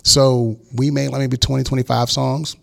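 A man with a gravelly, creaky voice in his forties, talking casually in an interview. He tells a long story and acts out what people said to him. His pitch sits in the middle for a man. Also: normal speed. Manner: animated storyteller acting out quotes. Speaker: guest record producer.

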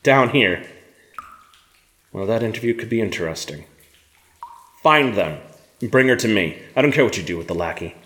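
Low-voiced, stern rebel commander. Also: male voice, animated, fairly bright tone. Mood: commanding. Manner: measured, deliberate, commanding. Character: stern male militant rebel commander, battle-hardened, controlled simmering anger. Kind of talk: audio drama acting.

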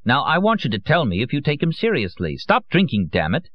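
A man's voice: mid-range voice, huge pitch swings, brisk, dry recording, highs cut off, pitched above the performer's own voice. A man voicing a jealous, brooding man who talks curtly and tensely. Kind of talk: audiobook character voice.

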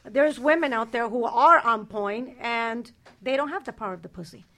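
A woman's voice: on the high side, normal speed, pushed and bright. A woman co-hosting a talk radio show, talking bluntly and boastfully. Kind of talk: candid talk radio chat.

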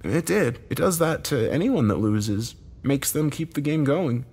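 A man's voice: mid-range voice, expressive, unhurried, darkish tone, husky, voice pushed up. A man voicing a desperate, bitter man in a dramatic story, agitated, urgent and given to swearing. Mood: rueful, confessing. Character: Josh, desperate bitter man, cornered game loser.

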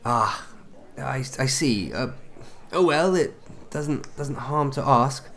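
A man's voice: mid-range voice, lively intonation, slow pace, gravelly, fairly bright tone, a little hiss or hum, heavily acted. A man acting an anxious, eager-to-please, social-climbing husband in a comedy audio drama, hesitant and stammering. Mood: awkward.

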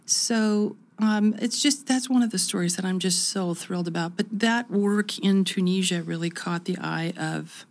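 A woman's voice: fairly low pitch, moderate pace, hardly any pauses. A woman in her fifties from the United States, talking reflectively as an interview guest, with many restarts. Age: 50s. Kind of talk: conversational interview.

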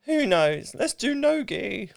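A woman, hosting a casual interview in an informal, thoughtful way with halting phrasing. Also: fairly high pitch, very animated, creaky, no echo, studio quality. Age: mid 30s. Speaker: podcast host, interviewer.

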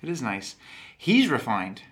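A man from Texas rambles through a casual chat, animated and quick to break off his own sentences. His voice sits at an everyday middle pitch. He keeps circling back and restarting his points. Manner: rambling, animated, self-interrupting. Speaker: podcast host.

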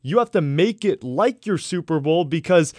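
Sports radio guy, measured and analytical, bit of a high voice, dry close mic. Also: lively intonation, dry recording. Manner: measured, analytical, some filler words.

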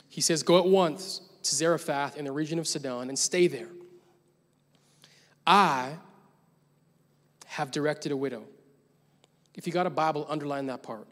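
A young adult man preaching a sermon as pastor, telling a story casually and building to emphatic points. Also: on the high side. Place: large church hall. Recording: long church hall reverb.

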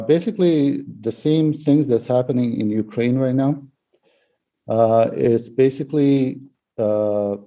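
Man from Bosnia, forties, very dark mellow voice, slow halting speech, podcast guest. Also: medium pitch. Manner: slow, measured, with many pauses and fillers.

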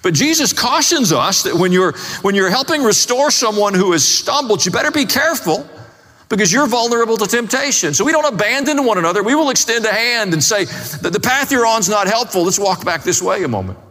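A man's voice: sits high, lively intonation, brisk, sharp tone. A man preaching a sermon as a pastor, explaining scripture in a plain-spoken way, recorded in a reverberant hall. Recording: long reverb of a large hall.

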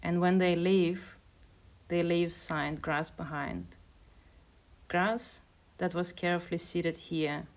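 A woman poet and translator from Kazakhstan, speaking in a measured, hesitant way as she talks and reads. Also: on the low side, steady tone. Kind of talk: casual conversation and poetry reading.

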